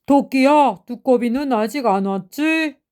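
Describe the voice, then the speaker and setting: A woman voicing a boastful but easily frightened, hungry tiger in a children's storybook, with a theatrical drawn-out delivery. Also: high-pitched, darkish tone.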